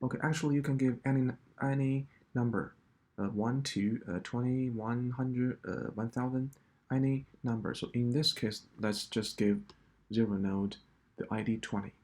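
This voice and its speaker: A man walking through a coding solution step by step, in a halting explanatory way. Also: medium pitch, fairly even intonation, darkish tone. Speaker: programming tutor.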